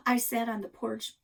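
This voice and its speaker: A woman reading a children's book aloud in an expressive, dramatic storytelling style. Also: a little high, gravelly. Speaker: library read-aloud reader.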